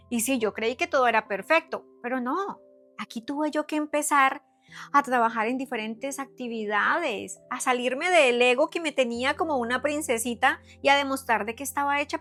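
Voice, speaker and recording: A woman's voice: on the high side, fairly bright tone. A middle-aged woman with a Colombian accent from Cali, giving an earnest, emphatic devotional talk with repeated phrases and rhetorical questions. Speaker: psychotherapist giving faith talk. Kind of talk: personal testimony and devotional talk to followers.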